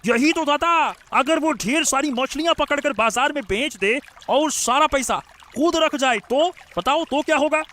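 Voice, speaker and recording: A grumbling young fisherman sidekick from a folk-tale drama, acted by a man. His voice is extremely high with a sharp, bright edge, full of suspicious questions and complaint. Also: moderate pace. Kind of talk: Hindi moral-story audio drama acting.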